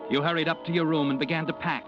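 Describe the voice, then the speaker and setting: A man's voice: fairly high pitch, level tone, fast talker, lightly acted. A man playing a sinister, all-knowing narrator of radio crime tales, dramatic and insinuating. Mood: knowing, taunting. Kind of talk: 1940s radio mystery narration. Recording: dull old radio recording, music behind. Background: music.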